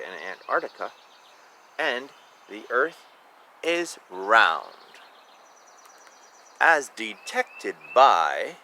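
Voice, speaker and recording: A man's voice: medium pitch, sharp tone, a little hiss or hum. A man reading a children's picture book aloud expressively and informally, drawing out words and adding casual asides about the pictures. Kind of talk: animated storybook read-aloud with casual asides.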